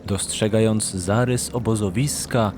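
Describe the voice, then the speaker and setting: A man's voice: on the low side, steady tone, a little slow, noisy background. A man narrating a scripted fantasy story as an audiobook narrator. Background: background music.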